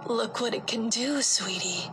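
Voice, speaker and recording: Woman doing a sweet cartoon mom, high voice, talks slow n explains stuff, loud music under it. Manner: gentle endearments, explains step by step. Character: doting, calmly instructive mother. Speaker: voice actor in a fan-made animated story. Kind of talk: acted motherly storytelling dialogue.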